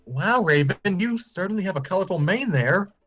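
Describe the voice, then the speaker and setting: A man voicing a polite, earnest young female pony in a halting, girlish way. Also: high-pitched, lively intonation, fairly bright tone, soft background music, pitched above the performer's own voice. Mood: impressed, curious.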